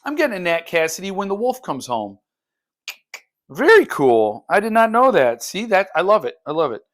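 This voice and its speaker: A man's voice: high-pitched, big pitch swings, normal speed, a bit bright. A man talking casually and unscripted as a book vlogger, thinking aloud in broken, conversational phrases. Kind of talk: casual unscripted talk to viewers.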